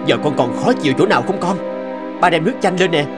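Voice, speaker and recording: Man as young cartoon dad, lightly high voice, worried, caring, loud music behind. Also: expressive, fast, natural voice. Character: young father, rough but softening, worried and caring. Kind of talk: Vietnamese cartoon dubbing.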